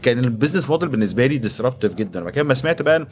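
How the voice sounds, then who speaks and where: A man's voice: medium pitch, animated, racing. A man in his thirties with an Egyptian accent, telling his story conversationally as an interview guest and mixing English business terms into his speech. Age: 30s. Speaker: podcast guest, startup executive.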